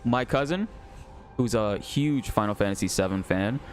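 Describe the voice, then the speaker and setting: A congested man with a slightly nasal voice and a lingering cough, talking in a low register. He rambles casually to his viewers between throat clears. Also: moderate pace, faint music behind. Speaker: livestreamer reacting to a broadcast.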